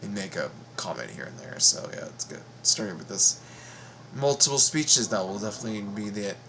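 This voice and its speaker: A man giving casual reaction commentary as a video host, halting, informal and repeating himself. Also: on the low side, big pitch swings, creaky, sharp tone, slight background noise.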